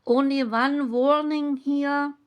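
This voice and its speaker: A woman reading oracle card meanings aloud in a slow, measured, soothing way. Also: high voice, steady tone, muffled recording. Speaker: tarot and oracle card reader.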